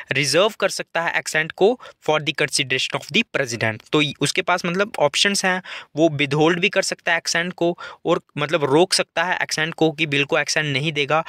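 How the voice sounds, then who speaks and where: A man giving a line-by-line newspaper editorial lesson, reading English sentences slowly and then explaining them in Hindi. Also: on the high side, sharp tone, no echo, clean studio sound.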